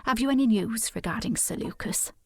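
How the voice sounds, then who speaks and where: An actress playing an older, genteel housekeeper in an audio drama, breathy and husky, with a polite, deferential, gossipy manner. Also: female voice, a little high, a little fast, a little mellow, a little hiss or hum.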